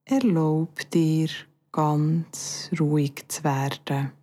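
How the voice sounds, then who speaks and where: Slow, low-voiced Bernese woman guiding meditation. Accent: Bernese Swiss German. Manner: calm, soothing, measured with long pauses. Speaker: yoga nidra instructor. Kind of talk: guided meditation.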